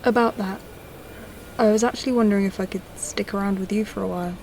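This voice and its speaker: Woman doing a plain-spoken young space traveler, talks fast, low-key and direct, sci-fi audio drama. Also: steady tone, darkish tone, slight background noise, lightly acted, low-quality recording. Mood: hopeful. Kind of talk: science-fiction audio drama acting.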